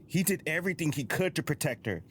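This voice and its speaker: A man's voice: on the high side, lively intonation, fast talker, slight background noise, lightly acted. A man playing a conflicted, guarded young male agent in an audio drama, earnest and defensive in his delivery. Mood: frustrated.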